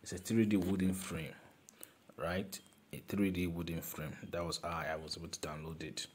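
Low-voiced man explaining design steps. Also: little pitch movement, normal speed, slight background noise. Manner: instructive, repeats steps, calls objects "this guy". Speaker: design tutor. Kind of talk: screen-recorded software tutorial.

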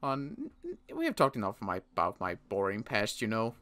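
A young man playing a weary survivor in a Minecraft roleplay, talking in halting bursts with sighs and restarts. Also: lowish voice, wildly swooping pitch, fairly bright tone. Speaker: roleplay player and channel host.

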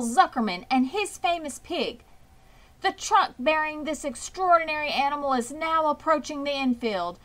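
A woman voicing a pompous, grandiose fairground loudspeaker announcer in a children's storybook, projecting and declaiming. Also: high-pitched, voice pushed up. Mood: pompous, announcing.

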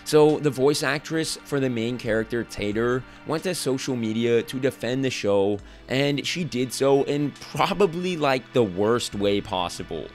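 A young man with a slightly hoarse voice giving casual, scripted commentary as a channel host, with a lot of "like" fillers. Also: mid-range voice, lively intonation, a little slow, hardly any pauses. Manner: casual, filler-heavy with frequent "like". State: slightly hoarse from losing his voice. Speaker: commentary YouTuber. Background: soft background music.

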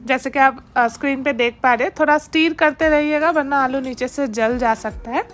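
High-voiced woman narrating a cooking recipe. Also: fairly quick, soft background music. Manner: instructive, steady, mixes English words into Hindi. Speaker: home cooking channel host. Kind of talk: step-by-step cooking voice-over.

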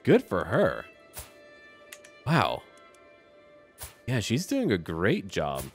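A man's voice: fairly low pitch, huge pitch swings, very slow, soft background music. A man hosting a casual roleplay gaming video, commenting in a chatty, offhand way as he addresses the game's characters.

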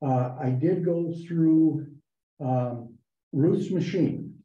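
A man reporting to a town board meeting, talking in a halting way with many fillers and restarts. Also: fairly high pitch. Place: town hall meeting room. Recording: echoey, muffled meeting room recording.

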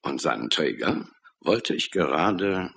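A brisk detective in a radio crime play. His voice is extremely deep yet sharp and forceful, with animated intonation. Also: gravelly, husky, exaggerated voice. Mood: brisk, triumphant. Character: brisk male police detective reporting findings. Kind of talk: radio drama acting.

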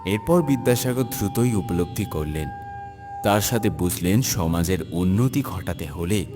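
A low-pitched man reads scripted biographical narration for a story. His delivery is formal and measured, over background music. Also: long reverb tail.

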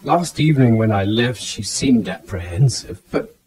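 An actor playing a devoted, anxious gentleman suitor in a radio drama. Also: fairly low pitch, expressive, moderate pace, lightly acted.

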